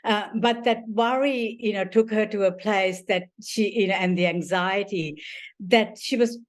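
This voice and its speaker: An older woman from Sri Lanka, an author and family therapist, answers interview questions. She talks at length in a rambling, warm way, full of "you know" fillers and restarts. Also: medium pitch, a little slow.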